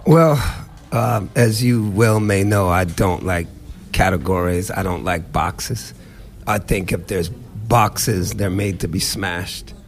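A man's voice: sits low, slow pace. A middle-aged man from the United States, a musician answering interview questions in a reflective, emphatic way. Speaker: musician guest.

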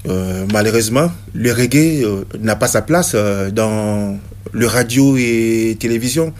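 A man from Congo, a reggae musician answering interview questions in a halting way, full of hesitations and restarts. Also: lowish voice.

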